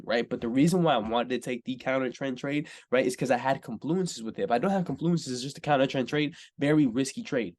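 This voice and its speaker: A young man with a slightly congested voice talks through a trading chart in a loose, chatty way. He keeps checking in with "right?" and gets worked up when a setup lines up, sounding like a mentor showing his own trades. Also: medium pitch. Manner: casual, says "right?" constantly, excitable. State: a little congested. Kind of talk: screen-share chart breakdown.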